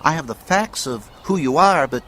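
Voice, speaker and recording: Bookish, courteous amnesiac man; wordy and analytical, lively intonation, faint ambience behind. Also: fairly high pitch, normal speed, slight background noise. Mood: detached, courteous. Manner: formal, wordy, hesitant, precise. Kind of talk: family radio drama acting.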